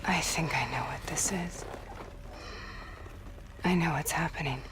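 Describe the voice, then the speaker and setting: A woman's voice: low voice, measured pace, noisy background. A woman playing a distressed, wary character under strain in a video game cutscene. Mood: hushed realisation. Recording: music behind the voice.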